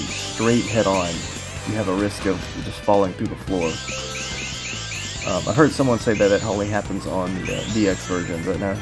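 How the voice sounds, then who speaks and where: A man giving casual, informal speedrun commentary, rambling as he explains the game. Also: on the low side. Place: voice-over recorded over game footage. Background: game music.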